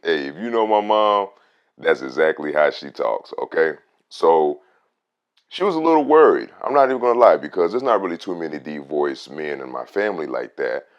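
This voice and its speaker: A man with a very deep, rich voice, a radio host telling a casual story about his own voice. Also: moderate pace, lo-fi sound. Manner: animated, swings loud on emphasis.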